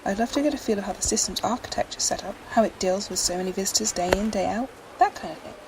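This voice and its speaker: A woman's voice: mid-range voice, fast, lightly acted. A woman playing a polite, curious young systems geek with a precise, eager manner in an audio drama. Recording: noisy background.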